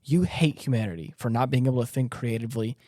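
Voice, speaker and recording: A man's voice: mid-range voice, fast talker, mellow, dry recording. Male podcast host. Conversational and earnest, leans hard on repeated phrases, dry recording. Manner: emphatic, earnest, repeats himself for stress. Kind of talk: casual discussion of a story.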